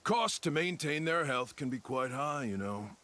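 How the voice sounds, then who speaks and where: A man's voice: mid-range voice, expressive, moderate pace, bright, forceful tone. An actor playing a money-minded, pushy huckster in a video game, with a wheedling salesman's manner. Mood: businesslike, wheedling.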